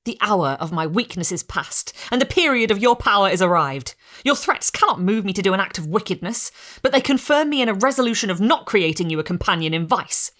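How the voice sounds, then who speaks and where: A woman voicing a defiant young male scientist who speaks loudly and forcefully, refusing and resolute. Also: medium pitch, expressive, brisk, hardly any pauses, close to an everyday voice. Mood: defiant, furious.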